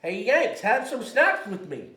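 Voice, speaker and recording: A man's voice: very high voice, very animated, a little slow, bright, forceful tone, exaggerated voice. A man playing a cantankerous, foul-mouthed Canadian bait shop owner in a comedic table read. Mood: friendly. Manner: profane, cantankerous, barking insults. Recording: echoey room, distant from mic.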